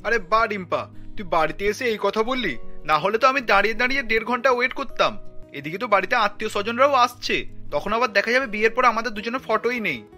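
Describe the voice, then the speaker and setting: A woman's voice: fairly high pitch, expressive, fast talker, sharp tone, soft background music. A woman voicing an impatient, anxious young male bridegroom in a cartoon. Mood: relieved. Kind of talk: cartoon acting.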